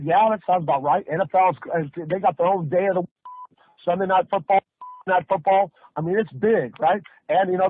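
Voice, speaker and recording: A man with a North American accent giving an emphatic, hyped sales pitch on a conference call, telling it like a story with rhetorical questions and folksy repetition. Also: breathy edge, no room sound. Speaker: network marketing presenter. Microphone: phone or conference line. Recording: muffled, band-limited conference call audio.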